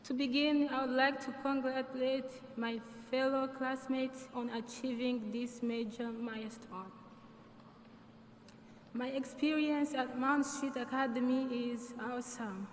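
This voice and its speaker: A woman's voice: high-pitched, steady tone. A young woman with a non-native English accent giving an earnest graduation speech as a student speaker. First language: not English. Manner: earnest, measured delivery. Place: large hall. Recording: hall reverb, faint noise.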